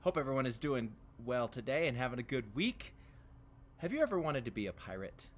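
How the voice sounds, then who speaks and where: An American man in his thirties, a music teacher, introducing a read-along to children in an expressive, direct way. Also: medium pitch, a little fast, a little hiss or hum. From Tampa, Florida, United States. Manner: expressive, addressing young viewers directly. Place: outdoors by a brick house wall.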